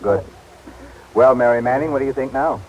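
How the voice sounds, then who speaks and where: An actor playing a brisk, evasive military colonel in charge, in an old radio drama. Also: male voice, medium pitch, a little mellow, close to an everyday voice. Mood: brisk, unruffled. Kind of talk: 1940s-50s radio drama acting. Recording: dull, faintly noisy old radio recording.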